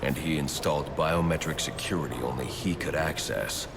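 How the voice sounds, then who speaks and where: A man's voice: moderate pace, background noise. An actor playing a grim, brooding male vigilante detective in a video game, terse and menacing. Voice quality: gravelly, very deep. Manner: terse, menacing, low and controlled. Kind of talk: video game cutscene acting. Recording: loud score behind voice.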